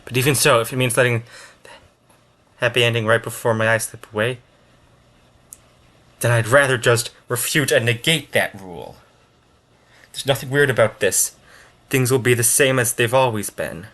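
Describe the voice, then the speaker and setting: A man giving casual, unscripted reaction commentary as a video host, in a halting, rambling way with thoughts that trail off. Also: mid-range voice, fairly bright tone.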